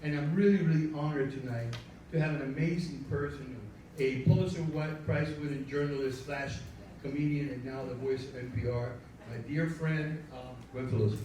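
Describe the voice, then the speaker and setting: A man's voice: medium pitch, fairly even intonation, heavy background noise. Rambling man with a Cuban accent. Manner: loose, self-deprecating, halting with fillers. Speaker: show producer. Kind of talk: rambling welcome speech. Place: live stage before an audience. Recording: echoey room, mic from far, audience.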